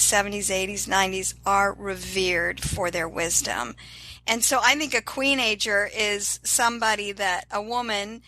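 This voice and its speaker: A woman's voice: mid-range voice, bright, forceful tone, no room sound, low-quality recording. An older woman talking as an interview guest, upbeat and encouraging in a casual motivational tone.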